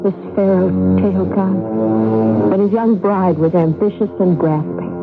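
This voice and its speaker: A gentle princess of ancient Egypt, speaking with grave, measured drama. Loud music runs under her, and the old radio sound is dull. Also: lowish voice, expressive. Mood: grave, storytelling. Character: gentle Egyptian princess recalling a tragic tale. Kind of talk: solemn dramatic radio narration.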